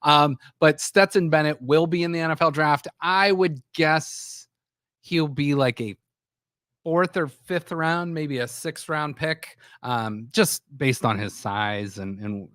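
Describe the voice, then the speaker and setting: A man's voice: on the high side, takes it slowly, fairly bright tone, no echo, studio quality. A man hosting a sports talk show, with a scratchy voice from a sore throat, rambling with frequent restarts. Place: small studio.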